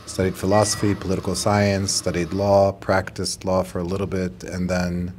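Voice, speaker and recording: A man in his forties from Palestine, speaking as a guest in an interview in an earnest, measured, explanatory way. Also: sits low, monotone, a little mellow, a little hiss or hum. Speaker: guest, educator and organizer. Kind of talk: conversational interview.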